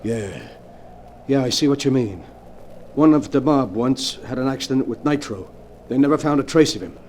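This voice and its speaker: A man's voice: medium pitch, expressive, normal speed, a little mellow, a little hiss or hum, lightly acted. A man acting a desperate, tormented gangster in 1940s radio-drama style, stammering and panicky. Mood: grim.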